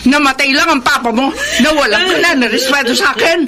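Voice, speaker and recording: A woman's voice: high-pitched, a little fast, lightly acted. A woman playing a defiant, scornful middle-aged mother in a Filipino radio melodrama, indignant and confrontational, with a Filipino accent. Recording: noisy radio drama track.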